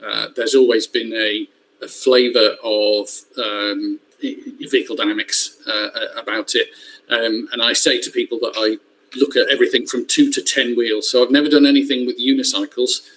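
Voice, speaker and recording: A man's voice: medium pitch, fairly even intonation, moderate pace, a bit bright, poor audio. An older man introducing himself informally as a co-presenter on an online call, with a wry, self-deprecating manner. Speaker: course co-presenter. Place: online video meeting. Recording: noticeable background noise on online call.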